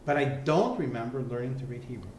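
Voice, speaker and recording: A man's voice: medium pitch, fast, a little hiss or hum. A man recalling family stories in an interview, repeating words as he thinks. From United States. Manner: animated storyteller, repeats words while thinking. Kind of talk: storytelling interview. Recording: echoey room.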